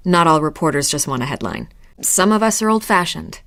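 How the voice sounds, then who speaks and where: An actress voicing a persistent, candid news reporter in a video game, blunt, informal and persuasive. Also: female voice, low voice, expressive, fairly quick, a bit bright, no echo, studio quality. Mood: indignant, sympathetic.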